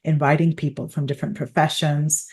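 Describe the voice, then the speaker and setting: A woman's voice: sits low, level tone, a little fast, darkish tone. A middle-aged woman from Pakistan hosting an interview podcast, explaining thoughtfully with fillers and restarts. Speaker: psychologist podcast host. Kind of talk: conversational interview.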